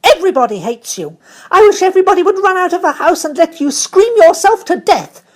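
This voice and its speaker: A woman voicing a savage, bossy little girl who shouts and stamps as she scolds. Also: extremely high pitch, very animated, brisk, pitched above the performer's own voice. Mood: furious, scolding.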